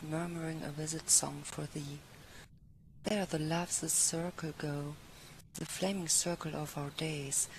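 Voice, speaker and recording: A woman recites verse in a notably low voice. The recording is clearly noisy, with ambient sound behind her reading. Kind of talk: poetry recitation.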